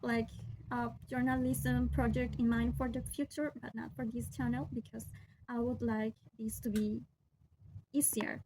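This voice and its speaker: A young woman from Peru, a Spanish speaker with a Peruvian accent, talking to her camera in careful English with many pauses and restarts. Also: a little high, little pitch movement, mellow, slight background noise, rough recording. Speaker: vlogger. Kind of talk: casual talk to camera.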